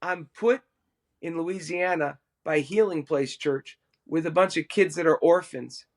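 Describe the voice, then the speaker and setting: A middle-aged man, a worship musician and podcast guest, telling a personal story in an animated, self-amused way. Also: on the high side, measured pace.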